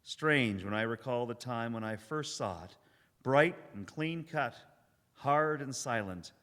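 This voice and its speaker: A haunted professor, played by a man, recounts surviving an alien invasion in a radio drama. His medium-pitched voice is solemn and theatrical, with deliberate, suspenseful phrasing. It rings out with long reverb in a live church hall. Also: animated, fairly bright tone. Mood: reflective, solemn. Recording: echoey hall, live stage recording.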